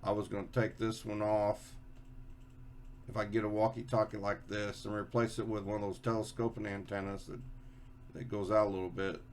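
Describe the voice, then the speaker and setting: A man giving a casual, unscripted hands-on explanation as a hobby video host, halting and often repeating and rephrasing himself. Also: fairly low pitch, flat delivery, slight background noise.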